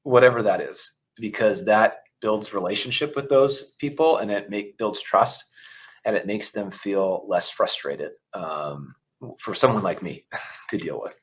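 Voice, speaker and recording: A company CEO talks in an interview with a fairly low voice. He speaks candidly and works through his thoughts aloud, with frequent fillers. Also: a little slow. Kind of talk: interview conversation.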